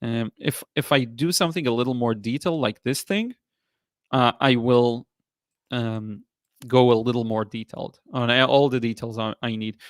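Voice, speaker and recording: A man in his thirties with an Israeli accent, hosting a painting livestream and answering viewer comments in a relaxed, halting way with many fillers. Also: medium pitch, dry recording, studio quality. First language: Hebrew. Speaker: watercolor artist and livestream host. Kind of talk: casual livestream chat reading comments.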